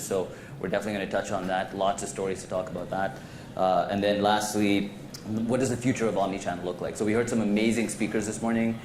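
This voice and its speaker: Casual low-voiced male moderator, echoey hall. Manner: casual, many fillers and restarts. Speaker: panel moderator. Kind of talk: conference panel moderation. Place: conference hall stage. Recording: echoey hall, faint noise.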